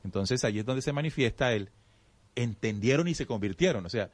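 A man's voice: mid-range voice, expressive, fairly quick, no room sound. A man teaching spiritual ideas in casual conversation, reflective and explanatory, often restarting his sentences. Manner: addresses the audience as "usted".

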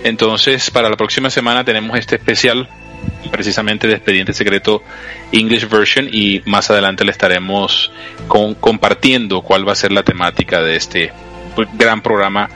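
Bright-voiced male radio host. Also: fairly low pitch, fairly even intonation, faint music behind. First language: Spanish. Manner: earnest, warm sign-off with pauses and restarts. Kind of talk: live radio talk show.

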